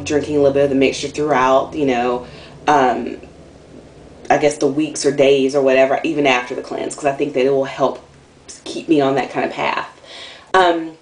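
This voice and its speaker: A woman's voice: low-pitched, a little mellow. A young woman talking casually to camera in a video diary, rambling and candid, with lots of ums and likes and a slightly nasal voice. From Atlanta area, US South. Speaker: vlogger.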